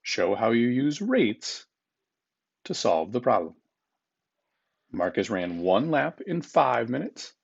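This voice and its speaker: Guy teacher reading out test questions, kinda creaky and husky, informal, slightly muffled recording. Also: medium pitch, big pitch swings, takes it slowly, pauses often. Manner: informal, instructive, repeats each question. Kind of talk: reading a math test aloud to students.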